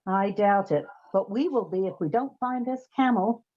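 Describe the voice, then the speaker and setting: A woman's voice: medium pitch, normal speed, natural voice. A woman playing a level-headed, dry-spoken female amateur sleuth in a comic radio play, on a dull, slightly noisy recording. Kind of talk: comic old-time radio play acting. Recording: dull, lo-fi, faint background noise.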